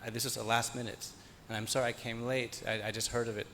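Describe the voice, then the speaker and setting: A man in the audience asks a question, earnest and a little self-deprecating, at a middle pitch. The hall gives a long reverb, and a faint rumble runs underneath. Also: level tone, fast talker, a bit bright. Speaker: audience member asking a question.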